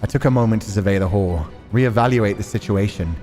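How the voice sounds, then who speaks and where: A man's voice: lowish voice, brisk, big-room sound. Man narrating dramatically over music. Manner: expressive, acts out characters. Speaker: streamer reading a visual novel aloud. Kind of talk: dramatic read-aloud narration.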